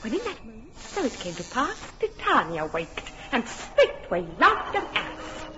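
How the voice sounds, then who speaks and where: A woman's voice: on the high side, wildly swooping pitch, measured pace, highs cut off, background noise. An actress voicing a mischievous fairy sprite in a classic radio drama, gleeful and teasing in her delivery. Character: mischievous impish fairy sprite, playful and quick.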